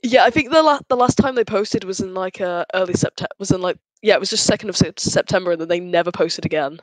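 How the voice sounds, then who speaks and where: A man's voice: high voice, fast talker, no echo, low-quality recording. A man with a British accent chatting casually on a group podcast. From Britain. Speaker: podcast member.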